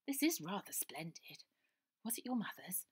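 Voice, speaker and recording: A woman reading aloud and voicing a posh, plump, theatrical young girl in a high, gushing manner. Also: sing-song, moderate pace, breathy edge. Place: small room indoors.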